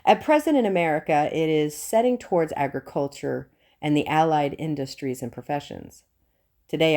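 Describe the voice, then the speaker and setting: A woman reads scripted nonfiction as an audiobook narrator. Her voice sits low for a woman, with a dark, relaxed tone. The delivery is formal, measured and earnest. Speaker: audiobook reader.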